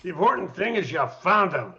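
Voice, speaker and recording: A man playing a bright, high-voiced, exacting older captain. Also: sing-song, a little fast, full character voice. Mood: impatient. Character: rough, exacting, impatient older male police captain. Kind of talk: radio play acting. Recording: dull, lo-fi sound.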